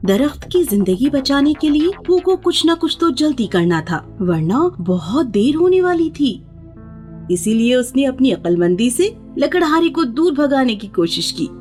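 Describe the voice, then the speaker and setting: A woman tells a scripted children's fairy tale. Her voice is fairly high, with lively rises and falls, and music plays softly behind the narration. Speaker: storyteller, narrator.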